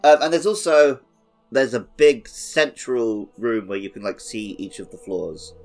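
A man's voice: mid-range voice, measured pace. A man co-hosting a podcast, chatting casually about a video game. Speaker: podcast host. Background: background music.

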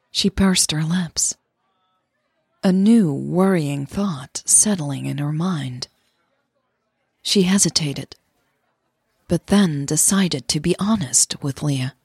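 A woman's voice: low voice, slow, vocal fry, pauses often, slightly rough, dry recording. A woman who is a native Dutch speaker, narrating a scripted romance audio drama close to the microphone.

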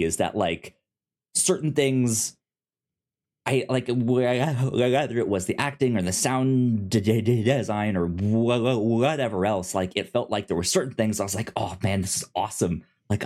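A man hosting a casual review podcast, informal and halting, stammering over the starts of words. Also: lowish voice, a little mellow. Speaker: podcast host.

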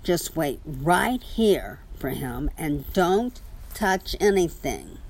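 A woman's voice: low-pitched, very animated, takes it slowly, creaky, a little mellow, breathy edge, exaggerated voice. A woman reading the part of a hospital nurse in a children's story, giving plain, kindly instructions. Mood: instructing.

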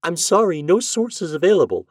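A man's voice: a little high, big pitch swings, normal speed, darkish tone, husky, no echo, studio quality, voice pushed up, full character voice. A man reading a story, voicing a polite, formal female library operator who speaks apologetically.

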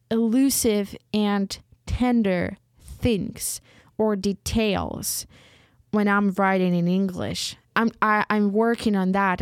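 A woman speaking English as a second language, her first being Ukrainian, reflecting on a personal story. She talks very slowly and hesitantly, restarting phrases as she searches for the right word. Her voice is smooth and clear, recorded dry and close. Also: medium pitch, no room sound. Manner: slow, hesitant, searching for words with many restarts. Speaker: writer.

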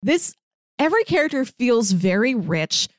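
A woman's voice: a little high, very animated, normal speed, dry recording, clean studio sound. A woman chatting casually as a podcast panelist, peppering her talk with "like". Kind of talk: casual animated-series discussion.

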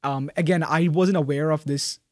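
A young man, a graduating student, answering interview questions in a thoughtful, soft-spoken way with frequent fillers and restarts. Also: fairly high pitch, fairly even intonation, normal speed, no room sound, studio quality. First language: Malayalam. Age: young adult.

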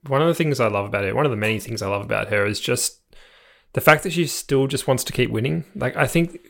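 A man co-hosting a cycling podcast, talking in a relaxed, thoughtful way. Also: mid-range voice, fast talker, gravelly. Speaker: podcast co-host. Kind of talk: casual cycling chat.

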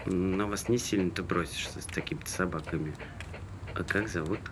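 A man's voice: sits low, fairly quick, close to an everyday voice. An actor playing a talkative, good-natured young man who muses in a casual conversational way in a stage drama. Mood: politely cheeky. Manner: musing, rambling, conversational. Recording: noisy ambient background with vehicle sounds.

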